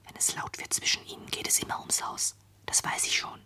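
An actor playing a sober, disillusioned youth in a radio play, speaking in a guarded, matter-of-fact whisper. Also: male voice, fairly quick, sharp tone. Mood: guarded, bitter. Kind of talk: 1970s German radio play acting.

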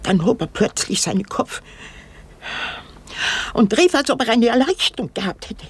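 Very husky, haunted old woman. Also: fairly high pitch, sing-song, full character voice. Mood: brooding. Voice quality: husky, breathy, creaky. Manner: halting, repetitive, brooding delivery. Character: elderly, haunted, rambling woman lost in morbid memories. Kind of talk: radio drama acting.

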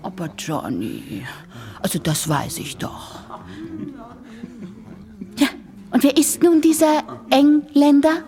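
An actress playing an impatient, teasing young doctor and fiancée in a German radio play, spirited and nagging. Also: female voice, high-pitched, wildly swooping pitch, slow, pauses often, mellow, exaggerated voice.

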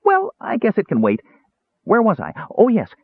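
A man voicing an excitable, childlike little kender who rambles eagerly in a storybook reading. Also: high voice, normal speed, vocal fry, husky, no room sound, phone-quality sound, pitched above the performer's own voice, exaggerated voice. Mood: chattering, evasive. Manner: rambling, breathless, eager, digressive.

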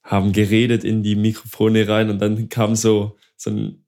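A man with a slightly hoarse, scratchy voice from a cold, telling a slangy, teasing story in casual podcast chat. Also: fairly low pitch, completely flat delivery, moderate pace, darkish tone. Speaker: podcast co-host.

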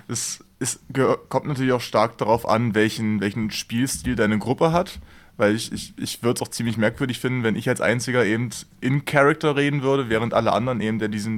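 A man co-hosting a casual podcast chat, explaining things and stumbling on words now and then. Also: mid-range voice. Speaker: podcast co-host.

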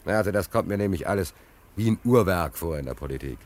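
Ein Mann spielt in einem deutschen Hörspiel einen gestrandeten, ruhelosen Seemann, der nachdrücklich und abschweifend erzählt und sich oft wiederholt. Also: male voice, sits low, lively intonation, quick pace, a little mellow. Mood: agitated explaining. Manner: emphatic, rambling, repeats himself, hesitant fillers. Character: stranded, restless seaman, rambling and philosophizing about his luck. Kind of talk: German radio play dialogue.

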